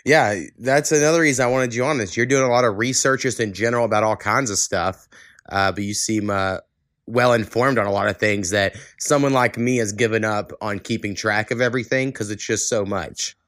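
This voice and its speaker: A man's voice: fairly low pitch, fairly quick, fairly bright tone, no echo, clean studio sound. An American man hosting a podcast in casual chat, with an informal, rambling, laid-back manner. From Oklahoma, United States. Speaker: podcast host.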